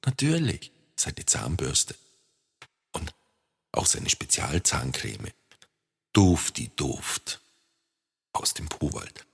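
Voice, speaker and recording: Slow, deep, gravelly male storyteller. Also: wildly swooping pitch. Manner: playful, repetitive, addresses the young listener. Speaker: author and storyteller. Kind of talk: expressive children's story narration. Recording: long reverb.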